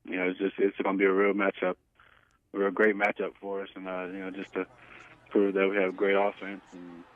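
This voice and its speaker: Low-voiced young man on tinny phone line. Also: completely flat delivery. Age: 20s. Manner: halting, hesitant phrasing with many pauses. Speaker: pro football player being interviewed. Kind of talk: casual phone interview. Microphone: telephone.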